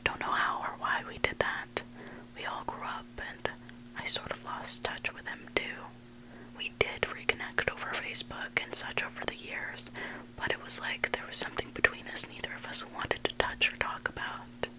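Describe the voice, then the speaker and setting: Hushed whispering ASMR storyteller, sex indistinct. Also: poor audio. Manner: hushed, deliberate, pausing between short phrases. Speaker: ASMR host reading submitted online stories.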